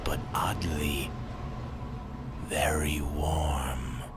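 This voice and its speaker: A man narrating a Halloween radio drama in a slow, ominous, theatrical storytelling manner, with a breathy, hushed delivery. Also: rumbling low, steady tone. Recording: music under the voice. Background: music.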